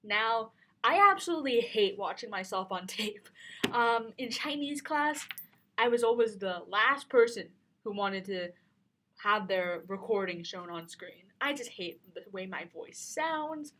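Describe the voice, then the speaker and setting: A teenage girl hosting her own vlog, chatting in a bubbly, animated way about what she has learned. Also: measured pace, slight background noise. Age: teens. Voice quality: low-sounding voice. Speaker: vlogger. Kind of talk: casual vlog talk.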